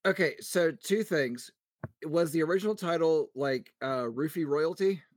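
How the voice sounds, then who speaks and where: A wisecracking man co-hosts a podcast in casual banter. His voice is slightly high, his humor dryly sarcastic, and the sound is close and free of echo. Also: measured pace. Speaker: podcast co-host.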